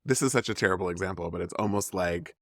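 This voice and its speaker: Male podcast host, low register, informal and conversational, loose phrasing, dry studio-like sound. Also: fast talker, dry recording. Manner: informal, with filler-like slang and broken-off starts. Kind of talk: casual chatty interview.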